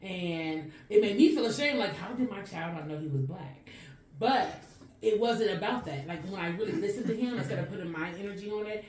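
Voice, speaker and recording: A woman's voice: mid-range voice, lively intonation, fairly quick, a little mellow. Earnest woman, distant roomy sound. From Chicago. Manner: earnest, reflective, speaks in long winding sentences. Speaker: podcast co-host. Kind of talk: casual podcast conversation. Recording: roomy and distant from the mic, faint noise.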